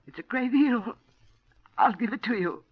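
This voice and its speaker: A woman's voice: fairly high pitch, lively intonation, normal speed, husky. An actress playing a frightened older widow, pleading and trying to placate her captor in a 1940s radio drama. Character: frightened old widow held captive at home. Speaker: heroine and narrator. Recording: dull 1940s radio broadcast.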